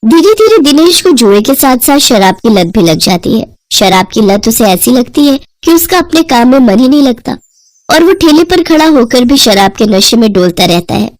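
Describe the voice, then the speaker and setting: A woman tells a moral story from a script. Her voice is notably high, and she speaks as a storyteller narrating events. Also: sing-song, normal speed, no echo, poor audio.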